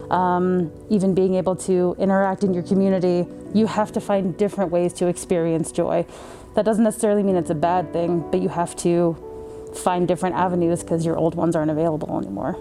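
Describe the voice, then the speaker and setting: A young woman student answering documentary interview questions in a reflective, measured way. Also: fairly low pitch. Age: young adult. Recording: music bed under the voice.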